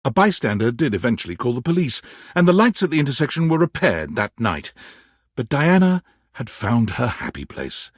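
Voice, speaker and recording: A man giving scripted, theatrical narration for a game, telling the story in an expressive, dramatic way. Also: medium pitch, normal speed, no room sound, studio quality.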